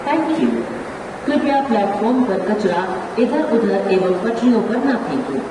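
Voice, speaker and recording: A woman with an Indian accent reading formal, segmented train announcements over a railway station loudspeaker. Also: medium pitch, expressive, unhurried, recorded from a distance. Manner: formal, segmented automated phrasing. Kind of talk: scripted public address train announcements. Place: railway station public address system. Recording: echoey station loudspeaker from afar, noisy ambience.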